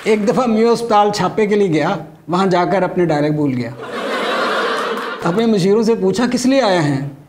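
High-pitched middle-aged Pakistani male satirist. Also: animated, normal speed, a crowd in the background, noisy background. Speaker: stand-up comedian, satirist. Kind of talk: scripted political satire monologue. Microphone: lapel mic.

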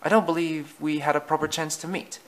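A man's voice: on the high side, level tone, a little fast, pitched above the performer's own voice, natural voice. A man voicing a cocky, eager young tank engine in a children's story, breezy and boastful. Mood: nervous, sheepish. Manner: breezy and boastful, stammering when cornered.

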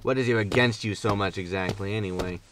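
A man's voice: on the low side, steady tone, fast, distant mic, background noise, lightly acted. An actor playing a grumpy, sarcastic male spaceship captain in a sci-fi comedy audio drama, with a dry manner. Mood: resigned, dry. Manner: dry, sardonic.

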